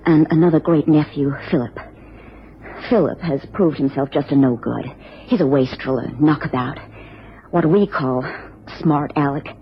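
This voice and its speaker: A woman's voice: low-pitched, lightly acted. A woman playing an anxious, genteel American wife in a radio drama, speaking formally and earnestly with careful phrasing. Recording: dull, noisy old radio recording.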